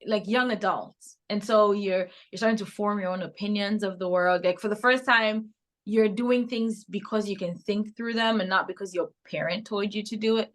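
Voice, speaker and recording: Woman, 30s, Cameroonian-English accent with an American lean, lively reflective guest. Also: medium pitch, normal speed. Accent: Cameroonian English, softened toward American. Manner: reflective, animated storytelling with frequent laughs. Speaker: podcast guest. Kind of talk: casual interview conversation.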